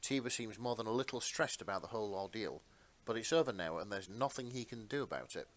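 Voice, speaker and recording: A man's voice: fairly low pitch, expressive, fast talker. A man narrating fan fiction as a podcast co-host in a dramatic storytelling style.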